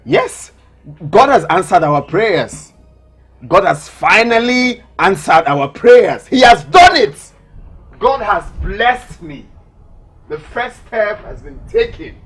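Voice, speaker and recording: Young son, Nigerian Igbo accent, film drama, expressive, lively intonation, background music. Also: male voice, mid-range voice, normal speed, husky. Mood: jubilant. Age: young adult. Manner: expressive, repeats words for emphasis. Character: devout, excitable young son, devoted to mother and sweetheart. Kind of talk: emotional film drama acting.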